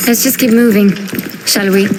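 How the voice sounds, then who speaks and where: A woman's voice: mid-range voice, fairly even intonation, moderate pace, natural voice. An actress playing a serious, cautious and determined young female adventurer in a video game cutscene. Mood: reproachful. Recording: loud action noise and ambience behind.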